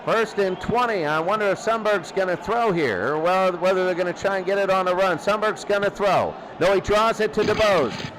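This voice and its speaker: Male play-by-play announcer, high voice, excitable and informal, repeating short phrases over clearly audible background noise. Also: normal speed. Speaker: play-by-play commentator. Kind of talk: informal play-by-play football commentary. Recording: noisy, steady background rumble.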